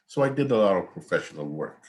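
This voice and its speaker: A man's voice: low voice, sing-song, fast talker, darkish tone. An older man from Guyana, an accounting professor giving a conversational, rambling online class lecture over a video call from home. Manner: conversational, repetitive, digressive.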